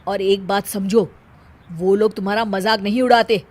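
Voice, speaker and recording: A woman's voice: mid-range voice, fairly even intonation, normal speed, darkish tone, lightly acted. A woman voicing a protective, indignant young husband in a cartoon story, speaking emphatically.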